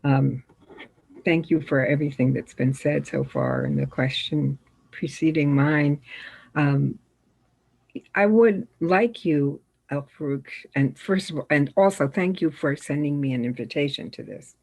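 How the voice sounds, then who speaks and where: A woman's voice: low-pitched, a little slow, creaky, a little mellow. A woman in the audience asking a long, hesitant question full of fillers. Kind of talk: casual conversation.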